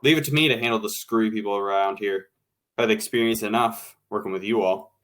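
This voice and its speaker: A man's voice: fairly low pitch, a little fast, fairly bright tone, lightly acted. A man playing a wry, bossy radio show colleague in an old-time radio drama, with a dry, casual, take-charge manner. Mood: smug.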